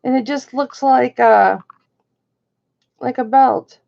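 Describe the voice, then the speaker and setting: Slightly hoarse gravelly lady doing a chatty live auction, slow and kinda high, muffled stream sound. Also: a little mellow. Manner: chatty, greets viewers by name.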